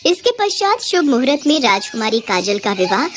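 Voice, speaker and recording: A woman's voice: a little high, sing-song, fairly quick, noisy background. A woman reading a scripted children's moral story as narrator.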